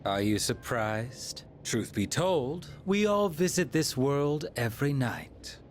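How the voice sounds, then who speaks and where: Slow, theatrical, courteous villain. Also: male voice, medium pitch, animated, slight background noise. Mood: calm menace. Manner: formal, aloof, pompous. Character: theatrical, coldly courteous male villain, languid and sadistic. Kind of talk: video game voice acting.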